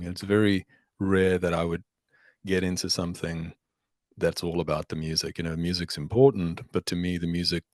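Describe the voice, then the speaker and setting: A middle-aged musician, a man, talking as a guest in a relaxed interview, reflective and measured, thanking his host warmly. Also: low-pitched, no room sound, clean studio sound. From South Africa. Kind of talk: casual interview chat.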